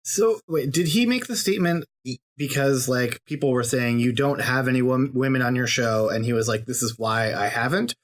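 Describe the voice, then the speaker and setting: A man's voice: mid-range voice, moderate pace, hardly any pauses. Wry, questioning male podcast guest. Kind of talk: casual chat.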